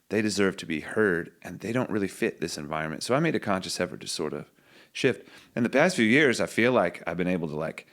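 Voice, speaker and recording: A man with a very low, deep voice talks as a songwriter guest on a podcast. He muses his way through ideas, circling back and restarting as he thinks out loud. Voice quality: low singing voice. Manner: thoughtful, musing, loose restarts. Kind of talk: reflective podcast conversation.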